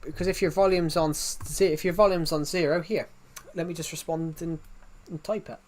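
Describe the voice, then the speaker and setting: Young British streamer with thick regional accent. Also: male voice, on the high side, steady tone, a little fast, darkish tone. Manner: rambling, restarts often, reads chat aloud. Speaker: game streamer. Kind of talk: casual live-stream chat.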